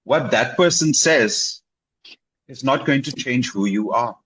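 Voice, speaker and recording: Husky-voiced man, encouraging tutor. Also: mid-range voice, animated, measured pace, fairly bright tone, dry recording, rough recording. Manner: encouraging, repeats himself for emphasis with "okay?" and "right?". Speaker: English tutor. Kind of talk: casual video-call conversation.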